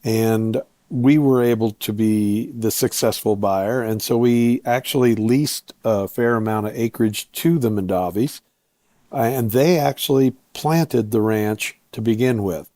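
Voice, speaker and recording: Low, mellow man explaining things step by step. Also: measured pace, no echo, studio quality. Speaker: interview guest, winery owner and viticulturist. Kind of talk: explanatory interview talk.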